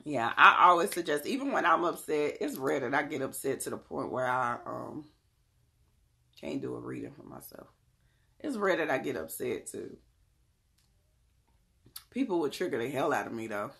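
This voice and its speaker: A woman's voice: extremely low pitch, very animated, moderate pace, creaky, pauses often, bright, forceful tone. A woman from North Carolina with a Southern accent, giving blunt, emphatic motivational talk and answering chat messages on a casual livestream. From Charlotte, North Carolina. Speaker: livestream host and tarot reader.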